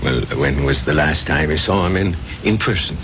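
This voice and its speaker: An actor playing a genial, philosophical barkeeper with gentle concern, in a 1970s radio drama. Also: male voice, a bass voice, moderate pace. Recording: dull, noisy old radio broadcast.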